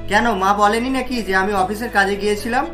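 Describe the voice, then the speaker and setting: A woman's voice: medium pitch, fairly even intonation, fairly quick, fairly bright tone, faint music behind, lightly acted. A woman voicing a rude young husband from a rich family in a cartoon story, curt and contemptuous. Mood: scornful, irritated.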